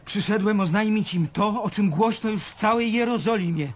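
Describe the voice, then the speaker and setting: High-voiced fervent young disciple. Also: male voice, normal speed. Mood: exultant. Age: young adult. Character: fervent young male disciple proclaiming a miracle. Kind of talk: radio drama acting.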